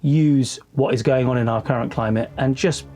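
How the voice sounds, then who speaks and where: A man's voice: medium pitch, moderate pace, dark tone. A man speaking reflectively in an interview, feeling his way through his phrases as a cast member. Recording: music behind the voice.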